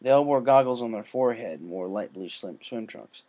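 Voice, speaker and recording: A man's voice: medium pitch, expressive, a little fast, dark tone. A man reading a scripted story aloud as its narrator. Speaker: story reader. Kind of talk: scripted fan fiction narration.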